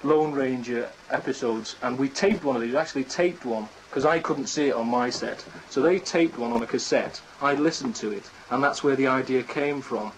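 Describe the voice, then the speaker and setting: A man's voice: mid-range voice, normal speed, hardly any pauses, a little hiss or hum, poor audio. A man, chatting casually as an interview guest. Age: 20s. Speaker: interview guest, amateur radio drama writer.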